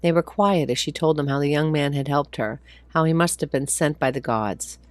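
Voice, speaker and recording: A woman's voice: sits low, normal speed, dry recording. A woman reading a short story aloud as a scripted narrator. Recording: faint background noise.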